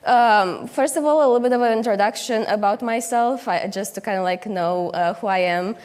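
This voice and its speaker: A woman's voice: mid-range voice, normal speed, a little mellow. A young woman from Romania giving a conference keynote, speaking hesitantly with many fillers and restarts. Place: conference hall stage. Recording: long reverb of a conference hall.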